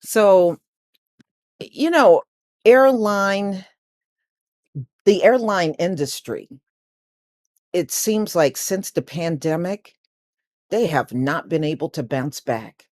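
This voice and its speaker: A woman commenting on the news in a casual, conversational and halting way. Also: fairly low pitch, animated, darkish tone, no room sound, studio quality.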